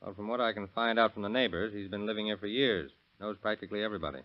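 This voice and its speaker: An actor playing a diligent, plain-spoken sheriff's deputy, folksy and matter-of-fact, in a 1930s radio crime drama. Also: male voice, fairly low pitch, racing, natural voice. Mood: earnest. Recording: dull, muffled vintage radio recording.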